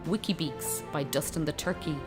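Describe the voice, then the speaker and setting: A woman's voice: low voice, moderate pace. A woman presenter reading out award nominees. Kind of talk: announcing. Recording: music and crowd behind.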